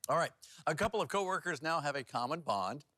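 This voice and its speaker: A man's voice: a little high, animated, a little fast, pushed and bright, no room sound. A man anchoring a television newscast in a chatty, informal way. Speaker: news anchor.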